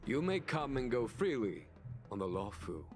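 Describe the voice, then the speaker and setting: An actor playing a dignified, courteous general in a video game, speaking in a formal, stately, ceremonious way, with music behind him. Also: male voice, medium pitch, big pitch swings, normal speed, natural voice. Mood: grave, formal. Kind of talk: scripted video game voice acting. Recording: music under the voice.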